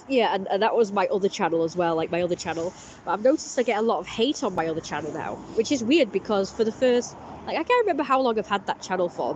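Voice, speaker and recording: A woman vlogging casually with a blocked-up, congested voice. She talks in a halting, rambling way, full of restarts and fillers. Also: mid-range voice, noisy background. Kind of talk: casual personal vlog talk.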